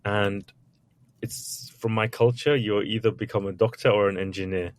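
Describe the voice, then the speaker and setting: Man, very low voice, candid storytelling, chatty podcast guest. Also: fairly even intonation, moderate pace. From Iraq, raised in England. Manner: candid, chatty, with many restarts and little acted quotes. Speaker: author and entrepreneur guest. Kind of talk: interview answers, storytelling.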